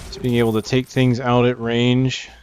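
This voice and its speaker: A man's voice: medium pitch, completely flat delivery, normal speed, noisy background. A man giving casual gameplay commentary as a video host, informal and thinking aloud. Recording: faint game music behind. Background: game music.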